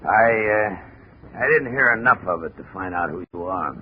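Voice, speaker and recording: A man's voice: fairly low pitch, expressive, normal speed, natural voice. An actor playing a cool, condescending rich businessman in a 1950s radio detective drama. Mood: cool, guarded. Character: smug, controlling nouveau-riche male liquor distributor. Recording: dull, hissy old radio recording.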